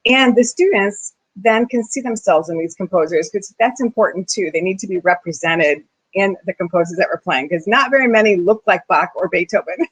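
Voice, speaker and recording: A woman's voice: medium pitch, brisk, husky, no echo, rough recording. A middle-aged woman from the Philippines, a music studio owner talking earnestly and enthusiastically on a panel, with frequent fillers and restarts. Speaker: piano studio owner and teacher. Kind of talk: panel discussion.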